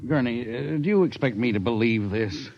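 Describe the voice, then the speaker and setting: A man's voice: mid-range voice, normal speed, soft background music, close to an everyday voice. An actor playing a brusque, impatient male office boss in a 1950s radio drama recording. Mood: dismissive. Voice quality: brusque, dismissive, blustering. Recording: dull old 1950s radio broadcast.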